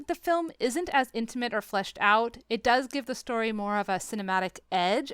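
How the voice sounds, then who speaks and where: A woman hosting a podcast, talking casually about books and films in an animated, emphatic, argumentative way. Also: fairly high pitch, clean tone, dry recording, studio quality. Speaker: podcast host. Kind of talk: casual book and film discussion.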